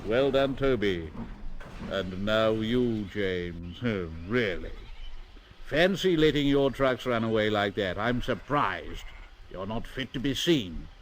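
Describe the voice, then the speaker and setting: A man's voice: fairly low pitch, animated, takes it slowly, a little hiss or hum, voice pushed down. A man voicing the Fat Controller, a stout, stern middle-aged railway boss who scolds pompously. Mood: scolding.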